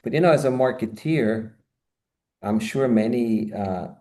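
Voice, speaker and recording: A man in his fifties from Austria talks from his home office over a webcam. His voice sits low and dark, and he wanders through long answers full of asides. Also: measured pace. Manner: expansive, rambling with many "you know" asides. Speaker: guest, marketing consultant. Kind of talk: conversational video-call interview. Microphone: video call, webcam.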